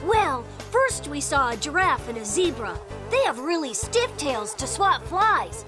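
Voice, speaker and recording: A very high, lively cartoon rabbit talks in an earnest, wondering way. Children's music plays clearly behind the voice. The pitch leaps around a lot. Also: female voice, unhurried. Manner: earnest, wondering, a bit self-doubting. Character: young rabbit with a bright cotton tail.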